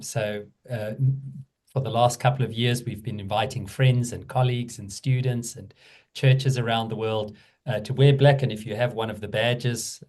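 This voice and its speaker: A middle-aged man with a South African accent, speaking as a guest in a conversational interview, measured and thoughtful, with frequent fillers. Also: on the low side, darkish tone. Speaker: guest, theology professor.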